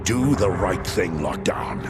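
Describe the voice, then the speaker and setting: An actor playing a noble, grave robot commander with a solemn, formal, authoritative delivery in a video game cutscene. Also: male voice, low-pitched, big pitch swings, slow, vocal fry, full character voice. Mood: grave, urgent. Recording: loud music over the dialogue.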